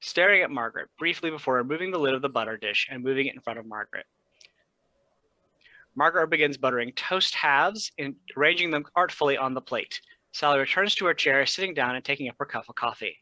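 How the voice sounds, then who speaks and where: A man's voice: mid-range voice, expressive, fast, sharp tone, no echo, poor audio. A man reading stage directions aloud as scripted narration for a play.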